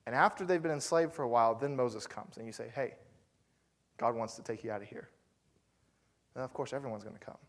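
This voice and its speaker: A man's voice: mid-range voice, brisk, long pauses. A man preaching a sermon as pastor, telling the story in a loose, conversational way with fillers and asides to the congregation.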